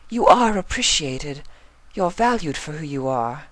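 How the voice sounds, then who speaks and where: A man's voice: fairly high pitch, expressive, a little slow, a bit bright, no echo, pitched above the performer's own voice. An elegant female pony, acted by a man reading a fan story, speaks in a raised, refined voice. The diction is formal and earnest, and the recording is dry and close. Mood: pleading.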